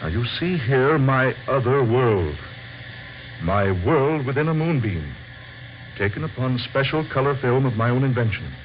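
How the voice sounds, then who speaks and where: An actor playing a grave, visionary scientist in an old-time radio drama, speaking formally and with theatrical deliberation. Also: male voice, mid-range voice, natural voice. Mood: awed, intent. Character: grave, visionary male scientist unveiling his secret discovery. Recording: dull, faintly noisy old radio recording.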